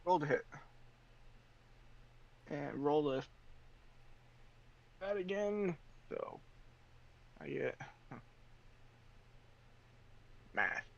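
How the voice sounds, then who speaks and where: A man's voice: fairly high pitch, expressive, very slow, vocal fry, long pauses. A man chatting casually as a player in a tabletop game, on a slightly dull mic.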